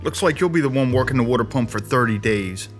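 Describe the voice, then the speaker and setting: A man playing a gruff, weary sergeant and bodyguard in an audio drama, dry and laconic. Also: mid-range voice, lively intonation, quick pace, soft background music, lightly acted. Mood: dry teasing. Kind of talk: scripted audio drama acting.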